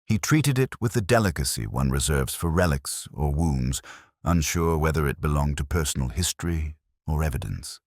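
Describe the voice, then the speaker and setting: Very deep-voiced man narrating a mystery. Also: animated, darkish tone, no echo, clean studio sound. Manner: measured, formal, reflective. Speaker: narrator of a detective story. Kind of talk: scripted literary mystery narration in first person.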